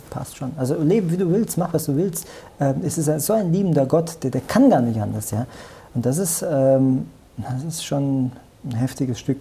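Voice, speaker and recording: A man's voice: mid-range voice, gravelly, dark tone. A man discussing the Bible casually, in a colloquial and emphatic way, often adding small tags for confirmation. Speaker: religious discussion partner.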